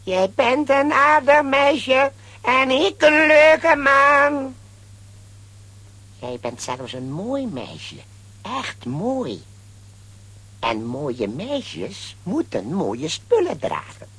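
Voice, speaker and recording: An actor playing a greedy, scheming, smooth-talking male villain in a children's audio play. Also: extremely high pitch, big pitch swings, very slow, sharp tone, exaggerated voice. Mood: sly, flattering.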